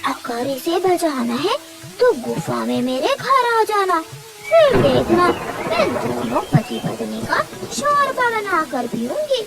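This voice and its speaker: A woman's voice: extremely high pitch, very animated, slow pace, gravelly, very noisy, full character voice. A woman voicing a menacing female eagle in a children's cartoon, gloating and threatening. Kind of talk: Hindi children's cartoon villain.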